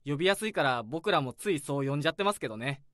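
A man's voice: on the high side, expressive, racing, fairly bright tone, no room sound, clean studio sound. An actor playing a brash, complaining young male office worker in his twenties in a radio drama.

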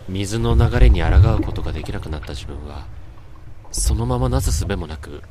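A man plays a weary young office worker in a radio drama, troubled by recurring nightmares. His voice sits on the low side and moves expressively up and down. He speaks politely, like the junior of the office, with an uneasy edge. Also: animated, normal speed, noisy background. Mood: haunted, reflective. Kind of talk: radio drama acting with first-person narration.

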